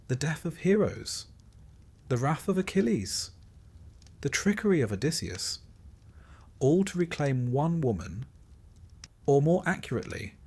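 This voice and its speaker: A man reading a scripted sleep-story narration in measured, deliberate phrases, with dry, wry understatement. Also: mid-range voice, darkish tone.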